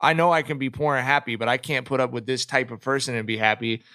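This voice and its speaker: A man hosting a podcast in a casual conversational style, informal and thinking out loud as he talks. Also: medium pitch, fairly even intonation, quick pace, no room sound. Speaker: podcast host.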